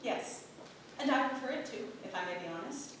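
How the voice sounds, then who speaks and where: A woman playing a frail, quiet, polite Puritan wife who is secretly shrewd, in a staged reading of a period play. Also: sits high, animated, brisk. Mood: polite, candid. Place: theatre stage, picked up from far off. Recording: very roomy, distant, noisy theatre recording.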